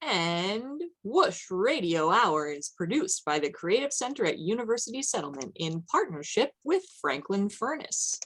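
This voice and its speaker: A woman with a mid-range voice hosts a live show. She speaks slowly and informally, in the manner of someone announcing credits and cast. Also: mid-range voice, big pitch swings, fairly bright tone, breathy edge, rough recording. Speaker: host reading credits and introducing cast.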